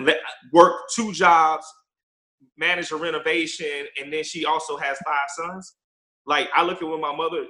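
Bright-voiced energetic man. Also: fairly high pitch, rough recording. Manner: energetic, repeats words while thinking. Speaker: guest, real estate investor. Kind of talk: casual podcast interview.

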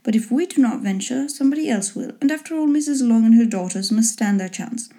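A woman voicing a dry, teasing father in a storybook reading, in a measured, mock-formal manner. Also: on the high side, expressive, dark tone. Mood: wry teasing. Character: dry, teasing, unruffled father of grown daughters.

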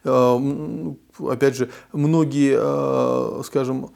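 A man's voice: medium pitch, steady tone, slow pace, vocal fry, darkish tone. A man interviewing a guest, talking in a reflective, conversational way. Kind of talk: casual conversation.

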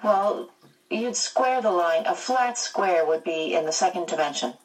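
A woman's voice: fairly low pitch, expressive, measured pace. A woman voicing an anxious, blunt teenage girl who talks earnestly and hesitantly, recorded at a distance.

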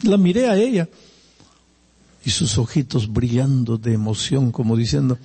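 An older man from Peru with a Peruvian Spanish accent, preaching a sermon and telling personal stories, animated and swinging between loud emphasis and quiet asides. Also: medium pitch, normal speed, breathy edge, in a large hall.

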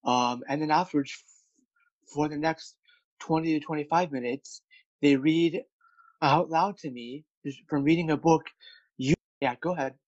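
A man's voice: on the high side, unhurried, no echo, highs cut off. A man who stutters, with repeated words and many fillers, speaking candidly as a guest about his work as a speech coach. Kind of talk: candid interview conversation.

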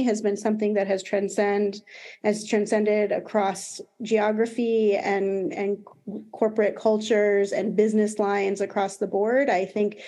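Mellow American woman on a panel. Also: medium pitch. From United States. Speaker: panelist, corporate HR executive. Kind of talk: conversational panel discussion.

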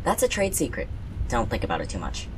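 An actor playing a dry, unflappable, bossy young friend in an audio drama. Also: female voice, rumbling low, wildly swooping pitch, fast, background noise, heavily acted. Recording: loud background ambience.

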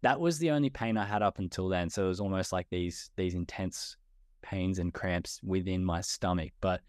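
A young Australian man, a guest in a podcast interview, speaking in a relaxed way. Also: low voice, moderate pace, dry recording, clean studio sound. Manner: relaxed, many fillers and restarts. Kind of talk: conversational interview.

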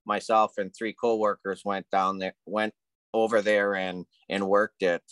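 A man co-hosting a casual podcast, telling stories in a relaxed, hesitant way with lots of ums. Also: fairly low pitch, unhurried, smooth, no room sound. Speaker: podcast co-host.

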